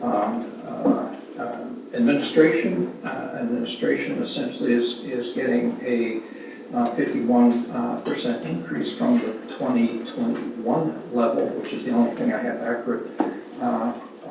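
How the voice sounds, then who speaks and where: An older man giving public comment at a city council hearing, halting and full of fillers as he reads out budget figures. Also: medium pitch, vocal fry. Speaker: citizen speaking at public hearing. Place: city council chamber, large room. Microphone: podium mic from far camera. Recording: echoey hall, distant, noisy.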